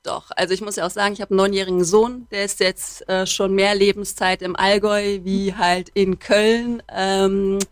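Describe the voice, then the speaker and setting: Middle-aged woman from Cologne, business leader, relaxed talk with fillers, dry booth sound. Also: medium pitch, normal speed, hardly any pauses, no room sound. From Cologne, Germany. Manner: chatty, frequent fillers, warm laughs. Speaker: managing director, interview guest. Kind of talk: radio interview.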